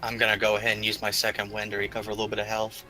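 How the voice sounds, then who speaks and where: A man's voice: on the low side, monotone, racing, over music, low-quality recording. A man playing a tabletop roleplaying game, casually calling out his moves and numbers at the table.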